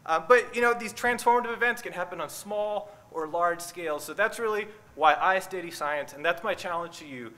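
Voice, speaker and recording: Guy wrapping up a talk, earnest and inspiring, bright, a bit high-pitched. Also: fairly quick, hardly any pauses. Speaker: conference speaker. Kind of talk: motivational closing of a talk.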